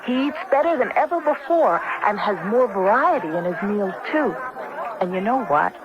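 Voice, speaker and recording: Actress as a chatty, animated wife. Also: female voice, medium pitch, normal speed, natural voice. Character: chatty, contented wife of heart patient. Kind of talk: conversational radio ad acting. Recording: dull, noisy old radio with crowd.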